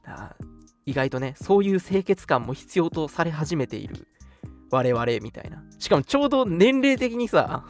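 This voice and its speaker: A young man in his early twenties with a fairly high voice and very lively intonation. He chats casually as a radio co-host, agreeing readily as he goes. Music plays softly behind him. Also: moderate pace. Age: early 20s. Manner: frequent agreeing backchannels. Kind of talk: casual chat. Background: background music.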